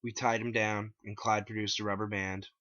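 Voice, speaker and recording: A man narrates his own first-person story in a dramatic reading. His voice is bright and forceful, recorded dry and slightly dull. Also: on the low side, level tone, normal speed, dry recording.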